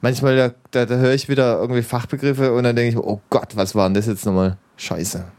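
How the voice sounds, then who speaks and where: A man's voice: medium pitch, fairly even intonation, quick pace, darkish tone. A man who is slightly congested, chatting casually on a radio show in a hesitant, rambling way. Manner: hesitant, rambling, many fillers. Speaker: co-host, trainee nurse.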